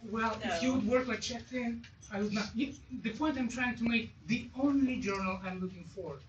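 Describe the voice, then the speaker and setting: An audience member offers feedback haltingly, circling back and pressing the point. The voice comes from off the microphone in an echoey space. Clear noise sits behind it. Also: medium pitch, dull audio. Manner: halting, repetitive, insistent. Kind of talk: spoken feedback at a business meeting. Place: event room with audience. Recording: distant mic, echoey room, clear noise.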